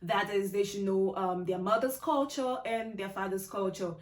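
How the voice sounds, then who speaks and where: A woman's voice: mid-range voice, steady tone, normal speed. Upbeat woman host in an echoey room. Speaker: interview host. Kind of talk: hosting an online interview. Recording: echoey room with faint music.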